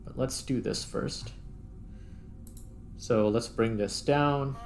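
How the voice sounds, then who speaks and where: A man's voice: medium pitch, slow pace, gravelly, a little mellow. A man giving casual, unscripted gameplay commentary, muttering step by step as he thinks aloud. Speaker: host playing and narrating a video game.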